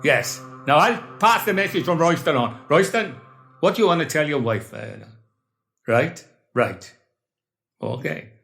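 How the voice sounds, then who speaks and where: A blustering Welsh stage medium hosts a comic seance, played by a man. His voice sits high and swoops all over the place as he coaxes the crowd with chatty showman patter. Faint music hums underneath. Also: animated, unhurried, gravelly, long pauses, a bit bright, full character voice. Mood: bluffing. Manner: chatty, bluffing showman addressing the audience. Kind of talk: comedy sketch monologue.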